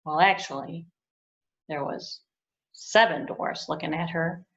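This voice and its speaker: A woman, a children's librarian and puppeteer, telling a fairy tale to children in a gentle, expressive way, on a dull lo-fi recording. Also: low-pitched, slow. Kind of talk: storytelling for children.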